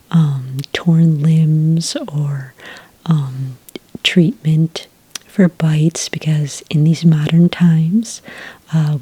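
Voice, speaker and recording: A woman acting as a friendly office clerk in an ASMR roleplay, very breathy and half-whispered. She takes her time, speaking slowly and gently with patient, official politeness. The sound is close and dry. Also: low voice, no echo, heavily acted. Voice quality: breathy, soft, near-whisper. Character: friendly, patient female licensing clerk for werewolf hunters. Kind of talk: soft-spoken ASMR roleplay. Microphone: close, dry microphone.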